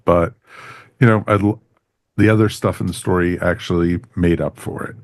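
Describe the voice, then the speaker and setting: A man's voice: sits low, steady tone, normal speed. A man co-hosting a podcast, giving an enthusiastic, casual review as he chats with others. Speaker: podcast co-host reviewing audio dramas.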